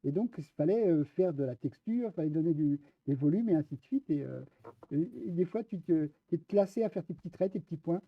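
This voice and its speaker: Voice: medium pitch, animated, brisk, dull audio. An interviewer asking friendly questions in a casual chat, laughing easily.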